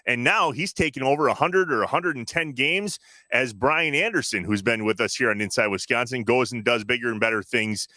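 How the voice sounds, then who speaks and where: Bright, forceful male podcast host. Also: medium pitch, brisk, dry recording. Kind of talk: casual sports podcast hosting.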